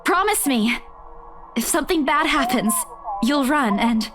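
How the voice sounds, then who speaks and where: A woman's voice: high-pitched, expressive, measured pace, music in the background. An actress voicing an anxious, protective young woman in a visual novel game. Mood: worried.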